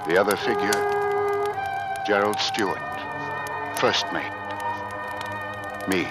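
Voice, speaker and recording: An actor playing a grave seafaring first mate in a theatrical, deliberate mid-century radio drama style. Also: male voice, fairly low pitch. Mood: grim, bitter. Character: grave seafaring first mate recounting his ordeal, bashful with ladies. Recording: old radio recording with orchestral music.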